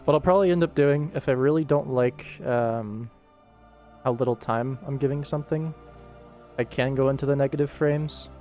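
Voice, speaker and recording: A man's voice: mid-range voice, normal speed, darkish tone, faint music behind. A man hosting a casual livestream, thinking aloud in a laid-back way as he works. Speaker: animator, livestream host. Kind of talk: casual livestream work commentary.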